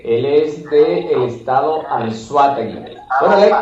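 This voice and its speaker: A man's voice: fairly high pitch, expressive, measured pace, darkish tone. A man from Venezuela with a Venezuelan accent, reporting and interviewing as a radio correspondent. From Guárico, Venezuela. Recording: echoey, muffled, noisy remote line.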